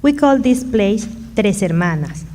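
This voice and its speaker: A woman playing a humble, generous Mexican mother in a radio drama, speaking halting Spanish-accented English sprinkled with Spanish words. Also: mid-range voice, expressive, dark tone, close to an everyday voice. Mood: gentle, helpful.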